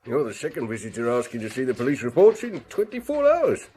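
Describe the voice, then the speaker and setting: An actor playing a talkative, obliging police records clerk who is easily surprised, in a radio drama. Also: male voice, medium pitch, huge pitch swings, fairly quick, dark tone. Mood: chatty.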